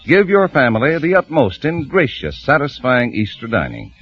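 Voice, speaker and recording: A man's voice: mid-range voice, wildly swooping pitch, moderate pace, breathy edge, dry recording. A male radio announcer in polished, persuasive 1940s style, reading the show's sponsor copy. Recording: muffled old radio broadcast with music.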